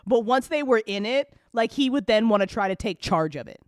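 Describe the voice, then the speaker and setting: Animated, higher-voiced woman chatting casually. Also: fairly quick, no room sound, clean studio sound. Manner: animated, rambling storytelling with slangy swearing and acted-out quotes. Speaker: audio drama creator chatting on a live after-show. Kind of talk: casual, unscripted chat.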